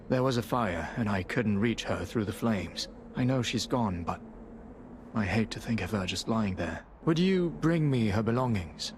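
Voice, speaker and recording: Game townsman for a male actor: bereaved husband, medium pitch, subdued and pleading, faint noise behind. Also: lightly acted. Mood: sorrowful pleading. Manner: subdued, hesitant. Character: grieving widower, humble, weary and pleading. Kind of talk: video game character acting.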